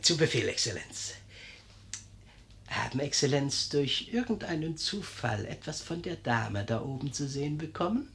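Bright, lively, servile innkeeper. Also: male voice, on the high side, moderate pace, creaky, full character voice. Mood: eager, deferential. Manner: deferential, flattering, gently ironic. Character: servile, sly, cheerfully unflappable Italian innkeeper, man. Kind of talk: 1950s German radio comedy acting.

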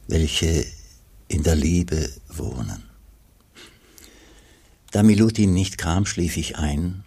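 Very deep-voiced, solemn old monk. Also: male voice, darkish tone, slightly rough, full character voice. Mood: reflective. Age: 60s. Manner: measured, reflective. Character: elderly monk recounting his life, solemn, melancholy. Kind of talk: first-person radio play narration.

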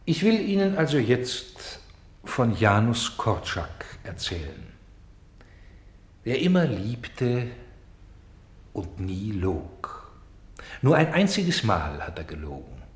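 Stage narrator, lowish voice, widely swinging pitch, grave, declamatory, insistent, radio drama. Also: male voice, very animated, reverberant. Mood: solemn. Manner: declamatory, deliberate, emphatic pauses. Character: solemn, insistent male stage narrator presenting a grave play. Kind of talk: theatrical German radio play, addressing the audience.

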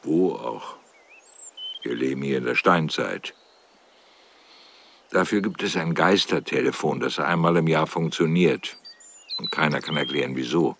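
An actor playing a sardonic, bookish, world-weary man with a gravelly voice and a wry, brooding manner in a radio drama. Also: extremely low pitch, a little slow, long pauses, fairly bright tone, a little hiss or hum, exaggerated voice.

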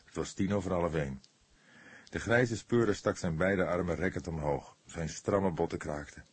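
Male storyteller for crime fiction: low, husky voice, close and dry sound. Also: normal speed, no echo. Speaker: audiobook narrator. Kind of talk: scripted narration of a detective novel.

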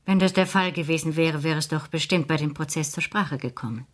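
An actress playing a shrewd, proper spinster in a German radio play, formal, measured and coolly probing. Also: female voice, sits low, close to an everyday voice. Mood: cool, guarded. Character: composed, proper, shrewd older spinster investigating.

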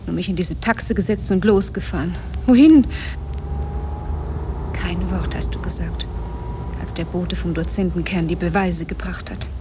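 A woman's voice: on the low side, unhurried, long pauses, dark tone, lightly acted. Distraught, accusing wife played by a woman, old noisy recording. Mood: anxious, pleading. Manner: agitated, insistent, pleading, emphatic. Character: distraught, accusing wife who discovers her husband's deception. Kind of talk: 1960 German radio play acting. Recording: noisy old radio drama cassette transfer.

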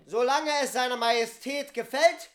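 A woman playing a stern judge in a radio drama, declaiming a sentence with deliberate, commanding words. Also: a little high, lightly acted.

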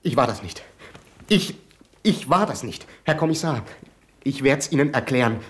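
A man's voice: medium pitch, expressive, normal speed, natural voice. An actor playing a nervous man in his thirties, a village poacher, who stammers and rambles while questioned in a radio crime play. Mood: flustered. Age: 30s. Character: nervous 33-year-old village poacher, folksy, evasive. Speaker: suspect under questioning. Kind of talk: 1970s radio crime drama acting.

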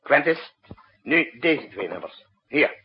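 A husky, commanding wartime president. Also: male voice, fairly high pitch, big pitch swings, slow pace, exaggerated voice. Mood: confident. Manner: curt, authoritative, gives clipped orders. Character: commanding, brisk male president directing a night-time military crisis. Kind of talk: 1960s Dutch radio drama acting. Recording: muffled, husky-sounding old radio broadcast recording.

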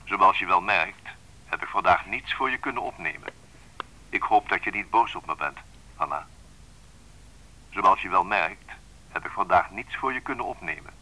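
Very deep-voiced possessive male lover. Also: lively intonation, normal speed, pauses often, pushed and bright, exaggerated voice, poor audio. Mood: apologetic, measured. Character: possessive, teasing adult male lover on an answering machine. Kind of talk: radio drama acting.

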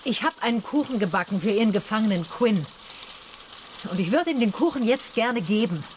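A woman's voice: on the high side, expressive, brisk, slight background noise, natural voice. A woman playing a plain-spoken, dogged, poor farm mother in a radio drama, speaking insistently. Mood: pleading, insistent.

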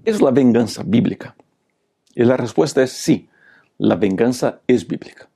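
Gravelly pastor. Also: male voice, mid-range voice, expressive, moderate pace, warm tone. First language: Portuguese. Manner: preachy, repeated rhetorical questions. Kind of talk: Bible lesson commentary.

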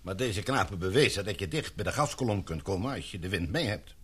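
A commanding male expedition leader in a radio play. He has a low, husky voice with lively swings in pitch and questions people with measured authority. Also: exaggerated voice. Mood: appraising, probing. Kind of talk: radio drama acting.

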